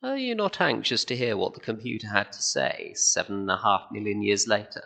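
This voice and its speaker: Gravelly old alien sage acted by a man, low, heavy creak, formal, portentous, patient, radio play. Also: wildly swooping pitch, fairly quick, sharp tone, exaggerated voice. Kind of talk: amateur radio play acting.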